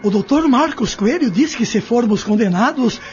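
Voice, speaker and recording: A man's voice: very high-pitched, animated, normal speed, a little mellow, dull audio, soft background music. A man playing a scared, pleading younger accomplice in an audio drama, speaking with alarm. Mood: anxious. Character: frightened, pleading weaker brother of a criminal.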